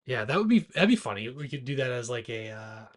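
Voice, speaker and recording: A man's voice: mid-range voice, big pitch swings, brisk. A man co-hosting a movie podcast, chatting casually in a loose, rowdy, irreverent way, a little tipsy from beer. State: tipsy after a couple of beers. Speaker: podcast co-host.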